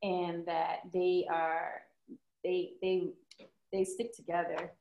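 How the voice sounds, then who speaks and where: A woman's voice: lowish voice, level tone, takes it slowly, vocal fry, reverberant, narrow, tinny audio. A woman on stage as a seminar guest, answering questions hesitantly with many restarts. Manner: hesitant, restarts, laughs a lot. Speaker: seminar guest in the hot seat. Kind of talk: live stage conversation.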